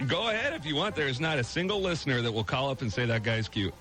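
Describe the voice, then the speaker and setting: A man's voice: medium pitch, lively intonation, fast talker, sharp tone. A man hosting a radio show, bantering casually with callers and co-host in a teasing, opinionated way. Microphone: radio studio microphone. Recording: music behind the voice. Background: music.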